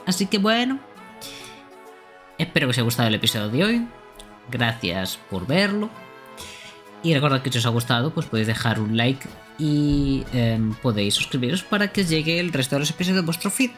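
Male streamer, animated intonation, dubbing and commenting over soft game music. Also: mid-range voice, fairly quick.

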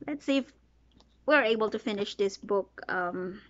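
A woman's voice: mid-range voice, measured pace. A woman in her thirties reading a picture book aloud to her small child in a sing-song way, prompting with questions and counting. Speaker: mother reading to her toddler. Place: small bedroom, sitting on a bed. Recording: dull lo-fi home recording.

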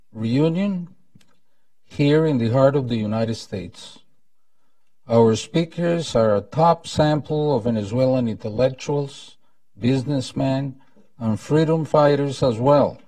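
Older male host, Costa Rican accent, very slow and deliberate formal delivery, soft dark tone. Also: medium pitch, no echo, distant mic. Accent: Costa Rican Spanish. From Costa Rica. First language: Spanish. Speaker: event host, former ambassador. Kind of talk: formal prepared welcome speech. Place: indoor conference room at a lectern. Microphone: lapel mic.

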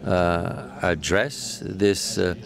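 A man giving live interpretation of a panel discussion, halting, with frequent fillers and self-corrections. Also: sits low, fairly even intonation, vocal fry, crowd noise. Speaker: simultaneous interpreter.

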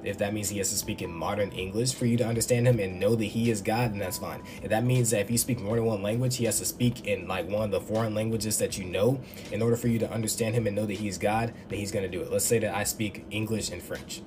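A man teaching about faith in a quick, enthusiastic, conversational way, filmed indoors on a phone held close. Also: fairly low pitch, steady tone. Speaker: Bible teacher. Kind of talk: casual devotional teaching. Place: indoor room. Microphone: phone camera up close. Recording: background music under voice.

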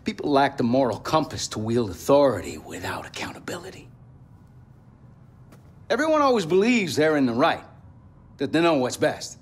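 An actor playing a gruff, cynical middle-aged militia leader in a game, grudging and preachy in manner. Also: male voice, mid-range voice, very animated, moderate pace, pauses often. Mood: bitter conviction.

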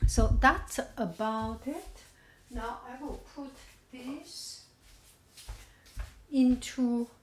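A woman's voice: a little high, background noise. A woman giving a casual home cooking demo, thinking aloud with many fillers. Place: home kitchen at a gas stove.

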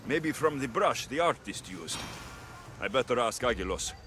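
A man's voice: mid-range voice, lively intonation, moderate pace, background noise, close to an everyday voice. A man playing a brusque, combative ancient Greek mercenary in a video game, talking in a blunt, terse way. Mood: dry, pensive. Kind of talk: scripted game dialogue.

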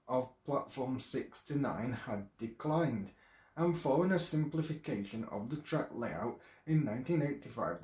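A man's voice: medium pitch, a little mellow. Man reading a history script aloud, echoey room. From England. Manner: careful, halting reading with occasional restarts. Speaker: video presenter. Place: small room at home, indoors.

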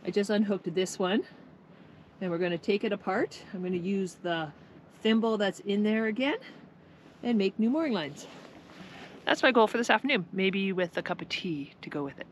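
A woman's voice: medium pitch, normal speed, slight background noise. A woman in her forties chatting casually and bluntly to an action camera on a boat deck while doing chores. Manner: frank, blunt and joking. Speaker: boat-living vlogger. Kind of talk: casual vlog chat. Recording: music bed under the voice.

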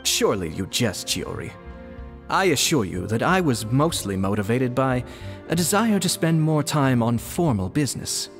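An actor voicing a courteous, composed young nobleman in a video game, speaking in a formal, measured way. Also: mid-range voice, sing-song, big-room sound, soft background music. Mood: polite, calm.

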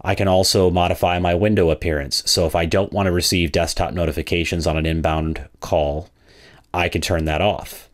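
A man with a low-pitched voice narrates a software product demonstration. He explains each step methodically, in the manner of a presenter guiding viewers through a tool. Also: steady tone, brisk.

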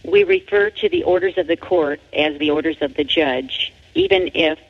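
A woman with an American accent reads scripted narration from her own book in a formal, measured manner. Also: deep voice, wildly swooping pitch, vocal fry. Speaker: author reading own book. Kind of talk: scripted narration, read aloud. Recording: dull lo-fi radio-like audio.

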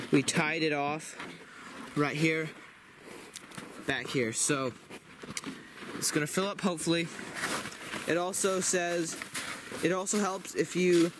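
A teenage boy casually explaining step by step how to tap birch trees, recorded on a camera outdoors in snowy woods and indoors. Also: a little high. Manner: plain step-by-step explaining with restarts. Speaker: homestead vlogger. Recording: loud outdoor background noise.

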